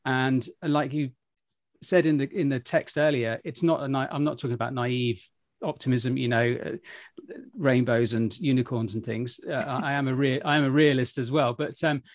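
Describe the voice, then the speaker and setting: A man's voice: mid-range voice, steady tone, moderate pace, no echo, clean studio sound. A man talking as a podcast guest, earnest and upbeat, rambling with many restarts. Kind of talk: casual interview chat.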